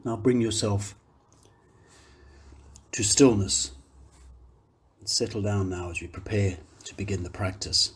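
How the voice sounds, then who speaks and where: Deep-voiced man guiding a calm meditation. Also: breathy edge. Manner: calm, unhurried, gentle phrasing with long pauses. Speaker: meditation guide, yoga teacher. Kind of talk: guided meditation, slow soothing instruction.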